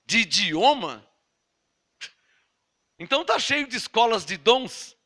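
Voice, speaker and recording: Brazilian man, preaching, very high voice, piercing bright tone, emphatic rhetorical questions. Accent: Brazilian Portuguese. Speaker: pastor. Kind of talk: sermon.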